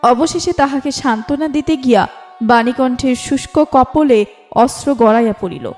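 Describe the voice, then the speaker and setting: A woman's voice: high voice, animated, normal speed, a little mellow. A woman reading a scripted literary story as narrator, in a formal storytelling delivery. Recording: music bed under the voice. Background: instrumental music.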